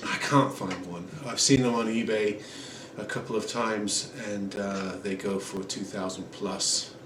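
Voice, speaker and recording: A man in his forties giving a relaxed home guitar review, rambling with many ums and uhs. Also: on the low side, measured pace. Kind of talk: casual product review. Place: small room at home, indoors. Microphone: camera mic at a distance. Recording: roomy, distant camera audio with noise. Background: acoustic guitar playing.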